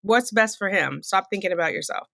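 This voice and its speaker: Blunt middle-aged woman with a bright voice. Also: mid-range voice, expressive, fairly quick, vocal fry, no echo, clean studio sound. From Fresno, California. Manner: blunt, opinionated, chiding, colloquial. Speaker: commentary channel host. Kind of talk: casual opinion commentary on a court case.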